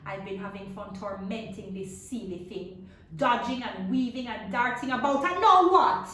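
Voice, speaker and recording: A woman voicing a scheming, angry male mosquito in a storybook, with drawn-out buzzes between the words. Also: medium pitch, lively intonation, fairly bright tone, natural voice. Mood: frustrated. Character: furious scheming male mosquito, buzzing and taunting. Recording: echoey room, distant mic, faint noise.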